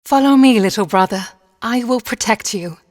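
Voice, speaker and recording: A woman's voice: fairly low pitch, very animated, moderate pace, big-room sound. A woman voicing a playful, bubbly, protective young girl, a big sister in a storybook game. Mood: playful, eager. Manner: bubbly, bright-spirited.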